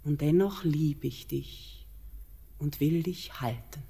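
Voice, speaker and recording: Dark, echoing mountain queen. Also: low voice, gravelly, breathy edge, heavily acted. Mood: solemn horror, longing. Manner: solemn, measured, otherworldly declamation. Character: ageless, lonely, yearning queen of the mountain. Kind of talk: classic German radio verse drama, declaimed. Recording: long cavernous reverb.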